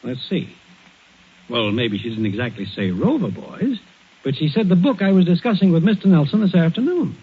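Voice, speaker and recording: An actor playing an excitable, bumbling next-door neighbor with an American accent, folksy and blustering, in 1940s radio comedy. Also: male voice, on the high side, moderate pace, breathy edge. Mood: confident. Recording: muffled, hissy 1940s radio recording.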